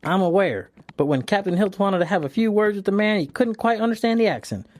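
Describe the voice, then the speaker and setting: An actor playing an upbeat, hurried police officer with a folksy way of talking. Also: male voice, sits high, animated, dry recording. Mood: eager. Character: eager, chatty male police officer. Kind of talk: audio drama acting.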